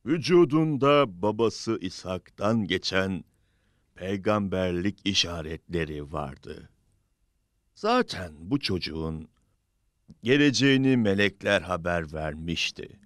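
A man narrating a religious story from a script in a solemn, measured manner. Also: deep voice, fairly bright tone, dry recording, clean studio sound.

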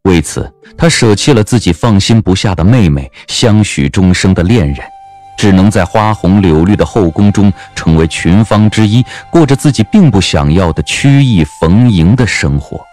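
A man narrating a scripted audio drama as an expressive storyteller. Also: low voice, normal speed, faint music behind.

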